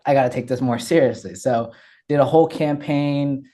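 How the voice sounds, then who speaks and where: Young man from Ethiopia, mellow voice. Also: mid-range voice, moderate pace. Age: young adult. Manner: candid, energetic, frequent fillers and restarts. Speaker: guest. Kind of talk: casual interview storytelling.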